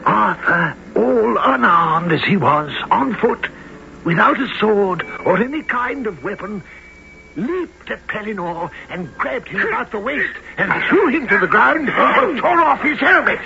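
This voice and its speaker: An actor playing an old wizard who narrates a knightly adventure in a grave, dramatic, drawn-out radio drama style. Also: male voice, high-pitched, animated, vocal fry, slight background noise, heavily acted. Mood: solemn, urgent. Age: older. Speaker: narrator and character. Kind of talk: theatrical old-time radio drama storytelling. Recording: dull radio broadcast, loud music.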